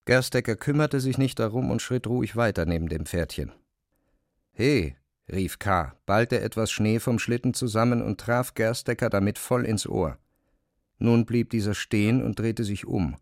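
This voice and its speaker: A man's voice: fairly low pitch, normal speed, no room sound, studio quality. A man narrating literary prose as the storyteller of an audio drama.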